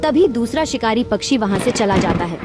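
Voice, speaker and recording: A woman narrating a children's cartoon story in a steady, even voice. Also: a little high, fairly quick. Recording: narration over loud background music.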